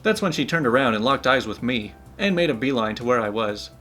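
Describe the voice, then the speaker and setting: A man's voice: mid-range voice, a little fast. Playful young man reading stories aloud. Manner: animated, playful, laughs while telling. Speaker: channel host, story reader.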